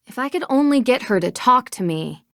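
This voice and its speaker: Level-headed young American detective, close and dry. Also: female voice, fairly high pitch, animated, brisk, no echo. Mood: thoughtful. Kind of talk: video game dialogue acting.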